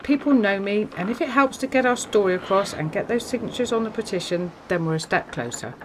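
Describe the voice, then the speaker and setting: A woman's voice: mid-range voice, expressive, a little fast, background noise, close to an everyday voice. An actress playing a gracious, spirited woman campaigner with a refined upper-class English accent in a radio play. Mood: earnest, gracious. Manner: polite, refined, encouraging.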